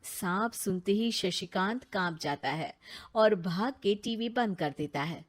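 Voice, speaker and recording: Woman narrating a cartoon story, plain steady voice, middle pitch. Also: unhurried. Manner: plain, matter-of-fact.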